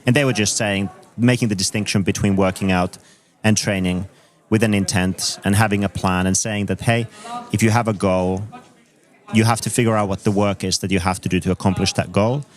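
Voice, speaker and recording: Finnish-accented man, low steady voice. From Finland. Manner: thoughtful, measured explanations with frequent fillers. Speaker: guest coach. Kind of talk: conversational interview.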